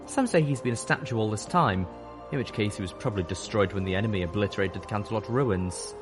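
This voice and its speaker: A robed pony cultist passing on rumours, voiced by a male reader in a lowish, close-to-normal voice. The telling is matter-of-fact. Music plays clearly beneath. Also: fast talker, natural voice.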